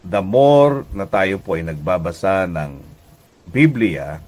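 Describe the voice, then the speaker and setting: A Filipino man preaching a devotional radio message as pastor and host, deliberate and emphatic, often checking in with listeners. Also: sits low, very animated, a little mellow. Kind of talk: devotional radio sermon in conversational teaching tone.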